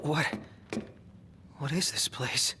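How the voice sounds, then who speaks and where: Anxious, slow-spoken young game hero. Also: male voice, on the high side. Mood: confused, alarmed. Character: anxious, wounded young man, defiant under fear. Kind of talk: video game cutscene acting. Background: loud dramatic music.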